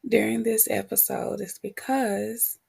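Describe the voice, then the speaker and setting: A woman podcast host talking reflectively and candidly on her own, her voice husky and prone to cracking as she gets over a cold. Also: mid-range voice, level tone, a little mellow. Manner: candid, unhurried, pausing between short phrases. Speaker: podcast host and motivational coach. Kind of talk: reflective solo podcast talk.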